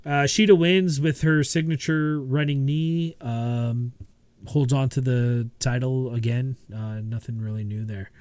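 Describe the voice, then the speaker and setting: Male podcast co-host, mid-pitch, casual and rambling, dry close sound. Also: a little slow, dry recording. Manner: relaxed, rambling with many fillers and restarts. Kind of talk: casual chat.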